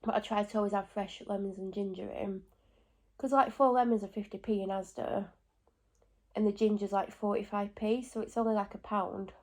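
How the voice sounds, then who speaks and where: A woman's voice: mid-range voice, darkish tone. A woman with a blocked nose from a cold, rambling at home in a casual vlog with lots of asides and self-corrections. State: stuffy and congested with a cold. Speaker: vlogger.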